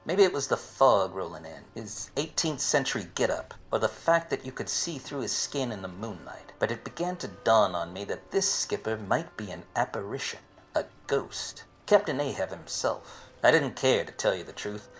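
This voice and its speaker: An actor playing an obtuse, wisecracking private detective in a parody of 1940s radio plays, wry and world-weary. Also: male voice, lowish voice, very animated, moderate pace. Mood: brooding narration.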